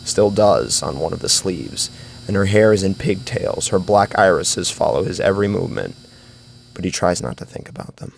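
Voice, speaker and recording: A man reads scripted horror fiction as its dramatic narrator. His voice is deep and creaky, with lively swings of intonation. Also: moderate pace, a little hiss or hum, poor audio.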